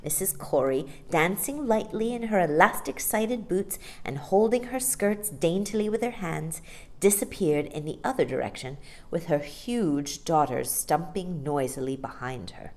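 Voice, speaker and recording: Woman reading kids a story, lowish voice that swoops around a lot, really expressive. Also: unhurried. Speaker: storytime reader. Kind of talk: expressive children's storybook narration.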